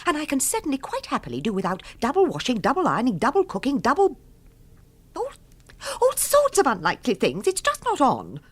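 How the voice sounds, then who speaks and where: An actress playing an exasperated, prim wife in a radio drama, emphatic and insistent, with a husky voice. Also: female voice, high-pitched, wildly swooping pitch, fairly quick, exaggerated voice. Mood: indignant. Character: indignant, exasperated wife refusing a spare husband. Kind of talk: British radio drama acting.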